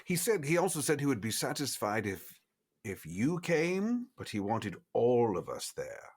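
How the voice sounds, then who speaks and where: A man's voice: mid-range voice, very animated, unhurried, husky. Man playing a droll, swooping genteel vampire. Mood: musing. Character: droll, genteel, centuries-old male vampire schemer.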